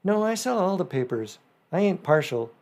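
A man's voice: sits high, very animated, darkish tone, pitched above the performer's own voice. A man doing an eager teenage newsboy. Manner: eager, respectful, quick. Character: shrewd earnest 15-year-old newsboy, polite.

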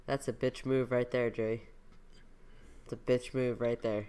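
A playful voice of middle pitch reacts casually, as if chatting with a friend. The delivery is teasing and loose, with free swearing and joking asides. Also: level tone, unhurried, mellow, clear, resonant tone. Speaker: comic dubber reading and reacting. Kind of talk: casual joking reaction commentary with read-out dub lines.

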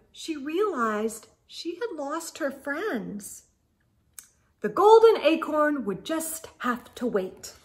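A middle-aged woman reading a picture book aloud to young children, expressively, with dramatic pauses and exclaimed asides. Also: high-pitched. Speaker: children's storytime host. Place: small indoor room with bookshelves.

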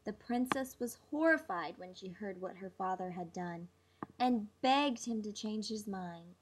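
A woman's voice: mid-range voice, animated, measured pace, low-quality recording. A woman reading a children's fairy tale aloud as a storybook narrator. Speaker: storybook reader.

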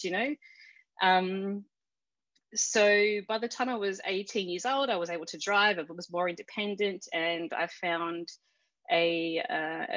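A woman's voice: on the low side, a bit bright, no echo, dull audio. An Australian woman telling a personal story in a casual interview, chatty, with many fillers and restarts. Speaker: interview guest.

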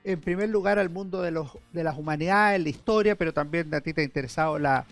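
A middle-aged man with a notably high voice hosts a studio interview. He asks long, winding questions in a friendly tone over a headset mic, with faint music underneath. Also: quick pace, creaky. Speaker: historian and TV show host. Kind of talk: interview hosting. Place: TV studio set.

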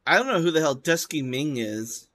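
Bright, forceful male game streamer. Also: fairly high pitch, animated, moderate pace. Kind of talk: casual live game commentary.

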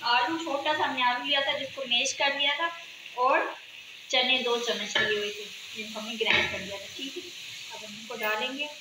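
A woman's voice: a little high, moderate pace. Woman demonstrating cooking in an echoey kitchen. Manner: calm, instructive, often ends steps with a check-in. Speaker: home cooking channel host. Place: home kitchen, indoors. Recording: echoey room with sizzling noise. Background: frying and sizzling from the pan.

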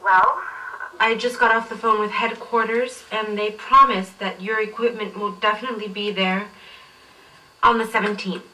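A woman acting as a smooth-talking, pushy saleswoman in a workplace training drama. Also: mid-range voice, fairly even intonation, moderate pace, pushed and bright, close to an everyday voice. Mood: reassuring. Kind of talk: acted corporate training drama. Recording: distant, re-filmed off a TV screen.

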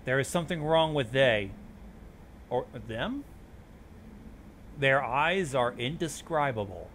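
A man's voice: medium pitch, lively intonation, takes it slowly, a bit bright, a little hiss or hum. A man hosting a reaction show, reading on-screen text aloud and adding commentary.

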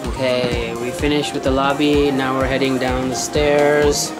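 A man in his thirties narrates a casual vlog tour, chatty and openly amazed by what he sees. He talks into a handheld camera mic, with loud music booming all around him. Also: measured pace. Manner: excited, chatty, wide-eyed commentary. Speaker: travel vlogger. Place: busy station and neon-lit venue, handheld.

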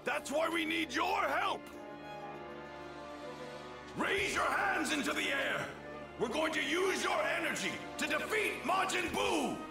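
An actor playing a proud, gruff, imperious warrior who barks blunt orders in an anime game. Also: male voice, extremely high pitch, expressive, slow, long pauses, very echoey, over music, full character voice. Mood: commanding. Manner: commanding, blunt, impatient. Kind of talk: English dub of an anime video game cutscene.

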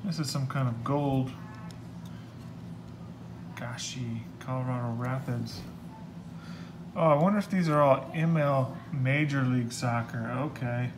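A man's voice: unhurried, long pauses. A young man casually talking to himself while opening trading card packs at a table indoors. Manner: relaxed, muttering to himself, low-key. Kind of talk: casual solo commentary. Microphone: camera across the table. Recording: loud crinkling and room noise.

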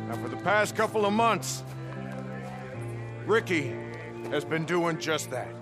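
A high-voiced male survivor camp leader delivers a rousing video game speech. He speaks slowly and emphatically, pausing to let each phrase land. Music plays clearly under his voice. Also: lively intonation. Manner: emphatic, deliberate pauses. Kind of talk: rallying speech.

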